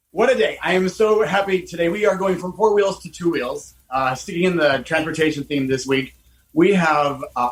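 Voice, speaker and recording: A man's voice: on the high side, poor audio. Enthusiastic middle-aged male radio host. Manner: enthusiastic and animated, many fillers. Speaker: host, car designer. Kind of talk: casual radio interview.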